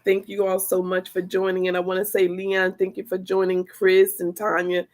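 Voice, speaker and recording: A woman hosting a podcast in a chatty, animated way, a little congested from the flu. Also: fairly low pitch, normal speed. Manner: chatty, animated storytelling with laughs. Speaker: podcast host. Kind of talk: casual podcast chat.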